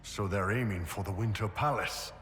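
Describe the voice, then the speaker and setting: An actor playing a burly, laid-back but loyal mercenary warrior in a video game, with a casual, blunt way of talking. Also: male voice, fairly low pitch, moderate pace, a bit bright, natural voice. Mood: matter-of-fact. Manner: casual, blunt, clipped phrasing. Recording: echoey hall, faint background noise.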